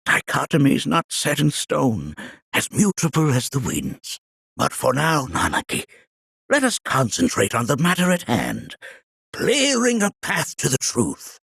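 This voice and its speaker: An ancient, kindly village elder for a video game. His husky, creaking voice swoops dramatically up and down. He speaks in formal, old-fashioned phrases, as a wise mentor telling solemn tales. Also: mid-range voice, wildly swooping pitch, a bit bright, no room sound, studio quality, full character voice. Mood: solemn, explaining. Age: older. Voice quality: raspy, creaky. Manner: formal, archaic, measured storytelling with dramatic flourishes. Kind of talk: theatrical video game voice acting.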